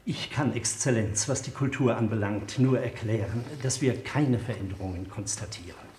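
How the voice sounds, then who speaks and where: An actor playing a stiff, complacent culture minister with a formal, bureaucratic delivery in a satirical radio play. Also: male voice, fairly low pitch, moderate pace, darkish tone, roomy sound, a little hiss or hum, close to an everyday voice.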